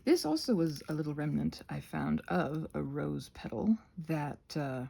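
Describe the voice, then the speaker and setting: A woman talks casually to her viewers as she crafts, in a mellow, slightly husky voice. Her pitch wanders up and down in a lively way. She is halting and self-conscious, often breaking off a thought for an aside in a rambling ASMR style. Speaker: ASMR craft video creator and host.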